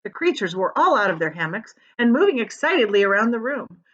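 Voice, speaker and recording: A woman reading a children's story aloud like a teacher, expressively, pausing to explain things in simple asides. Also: fairly high pitch, very animated, a bit bright. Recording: dull, lo-fi sound.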